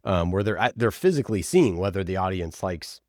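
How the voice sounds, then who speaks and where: A low-pitched man giving conversational answers as an interview guest on a podcast. He is emphatic, often repeating a phrase to drive it home, and the sound is close and dry. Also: animated, brisk, a little mellow, dry recording.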